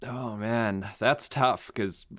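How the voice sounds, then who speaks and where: Deep-voiced man, Namibian-raised, native German. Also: slow pace, dry recording, studio quality. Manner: relaxed, rambling with many fillers and restarts. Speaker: guest pilot. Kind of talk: casual interview answers.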